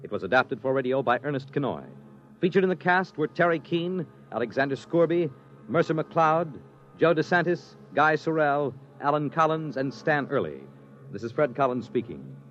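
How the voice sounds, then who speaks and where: Male 1950s network announcer, slightly high voice, lively read, dull old recording. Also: normal speed, soft background music. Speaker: radio announcer. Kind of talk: 1950s scripted radio announcing. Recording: dull vintage radio recording.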